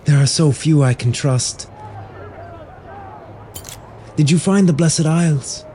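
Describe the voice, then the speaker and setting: A man's voice: fairly high pitch, takes it slowly, mellow, slight background noise, pitched above the performer's own voice. A man playing an unstable, desperate king devoted to his wife in a fantasy audio drama, speaking fervently and urgently. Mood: feverish joy.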